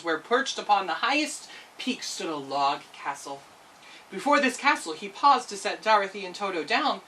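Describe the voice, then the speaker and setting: A woman's voice: mid-range voice, pushed and bright, recorded from a distance, slight background noise, rough recording. A woman narrating a children's storybook expressively as a storyteller.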